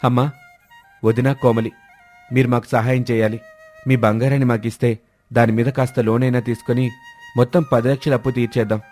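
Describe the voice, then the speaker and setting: A man's voice: mid-range voice, level tone, a little fast, pauses often, darkish tone, natural voice. A man playing a dutiful grown son in a family story, earnest and respectful. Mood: resolute. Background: background music.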